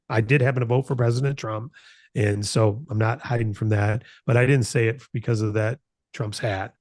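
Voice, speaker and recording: A man's voice: fairly low pitch, a little mellow, dry recording, clean studio sound. An American man in his late fifties giving an informal motivational business talk, earnest and conversational, repeating phrases for emphasis. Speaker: company founder hosting a broker announcement meeting.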